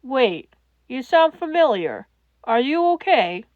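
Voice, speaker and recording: Woman doing a rough-voiced anguished male hero. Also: sits high, big pitch swings, takes it slowly, dry recording, phone-quality sound, exaggerated voice. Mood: urgent.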